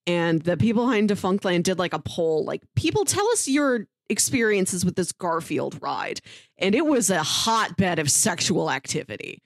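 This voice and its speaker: A woman's voice: on the low side, big pitch swings, normal speed, no room sound, studio quality. A woman co-hosting a podcast, giving recommendations in a chatty, informal way with casual asides. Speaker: podcast co-host.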